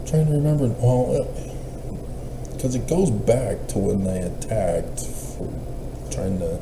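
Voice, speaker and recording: A man with a low, gravelly voice delivers a slow, meandering solo monologue. He wanders between thoughts and often lets sentences trail away. The recording is roomy, with clear noise behind him. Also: expressive. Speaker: self-styled prophetic vlogger.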